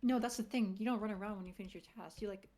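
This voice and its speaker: A woman's voice: medium pitch, steady tone, racing, warm tone. A woman chatting casually and swearing as a player in a group online game. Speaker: player in a social deduction game. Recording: faint noise, keyboard and mouse clicks.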